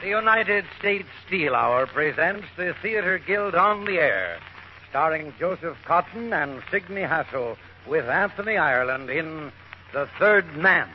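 A man's voice: a little high, animated, unhurried. A man announcing a 1950s radio drama in a formal, declamatory way. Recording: dull 1950s radio broadcast. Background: orchestral music.

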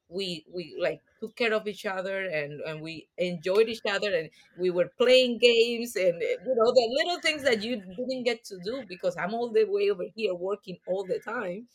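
A woman from Colombia, a podcast guest telling stories in a lively way and mixing Spanish and English. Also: mid-range voice. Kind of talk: casual interview chat.

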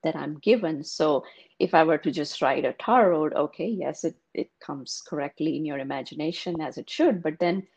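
Brief: woman author, low gravelly voice, reflective interview answers. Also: normal speed, a little mellow. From India. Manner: thoughtful, explanatory. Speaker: author, guest.

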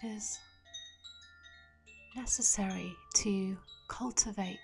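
A woman leading a guided meditation in a soft, soothing, gentle voice, leaving long pauses and trailing off breathily at the ends of phrases. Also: mid-range voice, fairly even intonation, vocal fry. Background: soft meditation music with chimes.

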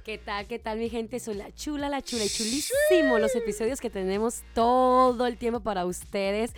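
A woman from Honduras, co-hosting a casual radio podcast in a relaxed, flirty manner. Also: on the high side, moderate pace, music in the background. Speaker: podcast co-host. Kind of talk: casual chat.

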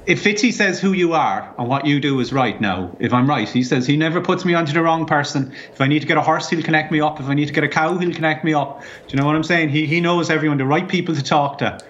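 A man's voice: on the high side, quick pace, few pauses, fairly bright tone, highs cut off, slight background noise, natural voice. A man playing a crude, boozy rural Irish caller from Offaly who swears heavily and rambles. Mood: rambling.

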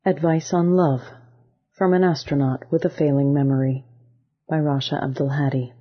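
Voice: mid-range voice, steady tone, normal speed, slightly rough. A poet reading their own poem aloud in a recited style. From Damascus and rural Georgia, US. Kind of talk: poetry reading. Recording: slightly dull, lo-fi sound.

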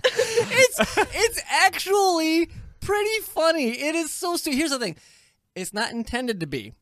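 A woman hosting a casual group movie review, exclamatory, giggly and quick to argue her point. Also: very high voice, big pitch swings, a bit bright, dry recording. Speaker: movie review show host.

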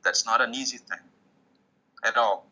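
A man's voice: medium pitch, normal speed, bright, forceful tone. A man whose first language is Portuguese, a musician guest speaking English with frequent restarts, heard over a video call. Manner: hesitant, restarts often, searching for English words. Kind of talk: interview conversation. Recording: dull lo-fi sound.

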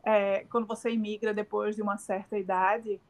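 A middle-aged woman with a Northeastern Brazilian accent, speaking at an ordinary middle pitch. She talks in a halting, searching way, full of fillers, restarts and tag questions, as an interview guest. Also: level tone, darkish tone. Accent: Northeastern Brazilian Portuguese. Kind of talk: casual remote interview.

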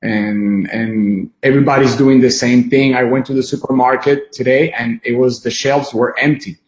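Halting man on a roomy video call. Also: medium pitch, steady tone, dull audio. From Venezuela. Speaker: interview guest, film director and musician. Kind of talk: conversational live interview.

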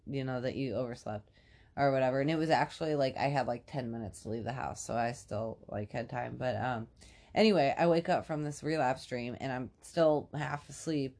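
A woman co-hosting a podcast, chatting casually in a rambling, filler-heavy way, her voice congested and raspy from a cold. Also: rumbling low, brisk, a little mellow. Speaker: podcast co-host. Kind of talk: casual chat.

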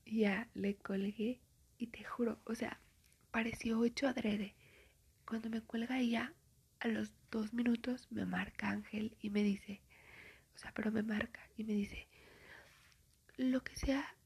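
Whispering young woman, breathy and gravelly. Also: on the high side, flat delivery, measured pace, a little mellow. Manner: chatty gossiping storytelling, full of fillers. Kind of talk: whispered ASMR storytime chat.

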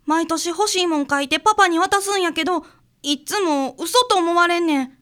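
Very high, bright little Kansai girl acted by a woman. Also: fairly quick. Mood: earnest. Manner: childlike, earnest, matter-of-fact. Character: insistent, sincere second-grade little girl. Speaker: radio drama actor playing a client. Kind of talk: community radio drama acting.

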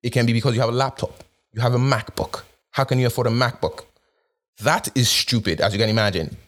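A man's voice: mid-range voice, brisk. A young man from Nigeria with an accent shaped by both Nigeria and North America, talking casually with fillers and restarts. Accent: Nigerian with North American influence. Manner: conversational, with frequent fillers and repeated restarts. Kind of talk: casual monologue.

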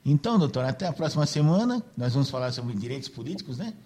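A man's voice: medium pitch, sing-song, fast, warm tone, slightly rough. A man hosting a casual radio chat, tagging nearly every phrase with "né" to seek agreement. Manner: chatty, filler-heavy, seeks agreement with "né". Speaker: radio host.

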